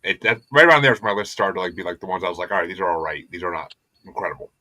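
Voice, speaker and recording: A man's voice: low-pitched, racing, pushed and bright, no room sound. A man hosting a casual music podcast, speaking in an emphatic, repetitive, opinionated way with plenty of swearing. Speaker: podcast host and panelist.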